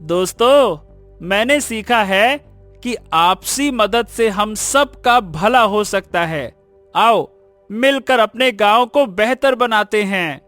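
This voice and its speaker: A humble, repentant schoolboy from a cartoon village tale, voiced by a man. The voice is very high and somewhat slow, with earnest, emphatic delivery. Soft music runs behind it. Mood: humble, sincere. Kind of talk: animated moral story dubbing.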